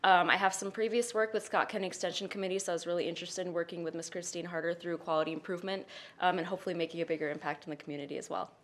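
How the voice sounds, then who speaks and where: Fast-talking student intern. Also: female voice, on the low side, fairly even intonation. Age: teens.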